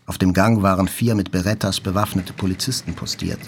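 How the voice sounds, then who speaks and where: A man narrating a literary first-person story for a radio drama in a measured, reflective manner. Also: low voice, fairly even intonation, background noise.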